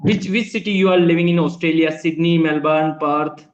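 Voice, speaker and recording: A man whose first language is Hindi talks in polite, earnest, simple English, his voice a little higher than most men's. He is on a laptop video call in an echoey room, and the sound is dull and lo-fi. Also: fairly even intonation, normal speed. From India. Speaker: English learner. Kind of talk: casual online conversation lesson. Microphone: laptop microphone on a video call.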